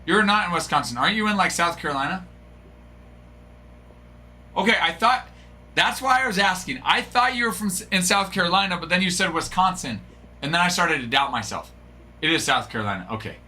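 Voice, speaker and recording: A man's voice: high-pitched, lively intonation, fairly quick, long pauses, sharp tone. A middle-aged man hosting a live card break, chatting casually and rambling to his viewers. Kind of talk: casual live-stream chat.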